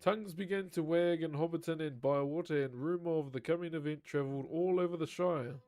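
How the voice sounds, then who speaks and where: A man's voice: a little high, a little fast, smooth, soft background music. A man reading a novel aloud on a live stream, chatting casually with viewers between passages and swearing freely. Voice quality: naturally loud voice. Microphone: desk microphone.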